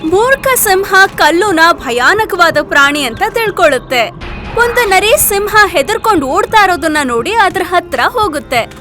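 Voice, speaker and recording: A woman's voice: very high-pitched, big pitch swings, moderate pace, pushed and bright. A woman narrating a scripted children's story as a storyteller. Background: music.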